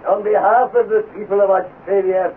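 An actor playing a solemn, formal Prime Minister giving an oratorical tribute in a 1950s radio drama. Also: male voice, high voice, little pitch movement, moderate pace, narrow, tinny audio, natural voice. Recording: distant, thin, noisy old radio recording.

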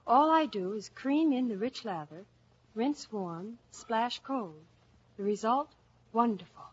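Husky, higher-voiced woman in radio ad. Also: expressive, measured pace. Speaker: commercial testimonial speaker. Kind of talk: scripted 1950s radio advertising. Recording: dull old radio recording.

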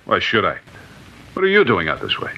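A man's voice: on the low side, wildly swooping pitch, a little fast, slightly rough, exaggerated voice. An actor playing a surly male suspect who answers questions with defiance and evasion. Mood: defiant. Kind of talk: 1950s radio crime drama acting. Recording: dull old radio broadcast recording.

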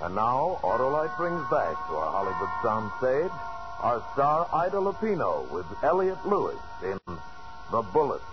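A man's voice: mid-range voice, sing-song, moderate pace. A man giving a theatrical, emphatic 1940s radio introduction as the announcer. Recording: dull old radio broadcast, music behind.

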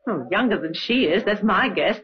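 A woman's voice: medium pitch, animated, normal speed, lightly acted. A woman playing a gossipy, deferential working-class waitress in an old radio drama, musing and hesitating as she talks. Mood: chatty, musing. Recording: dull old radio recording.

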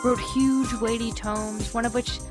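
A woman's voice: fairly high pitch, flat delivery, measured pace, over music, lightly acted. A woman reading the part of a breezy, self-amused young male actor in a wry, chatty novel dialogue. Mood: wry storytelling.

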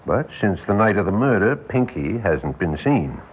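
An actor playing an older, world-weary defense lawyer, folksy and wry, with rambling, emphatic delivery in a 1960s radio drama. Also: male voice, lowish voice, sing-song, moderate pace, narrow, tinny audio, a little hiss or hum. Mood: wry, musing. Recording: band-limited vintage 1960s radio recording.

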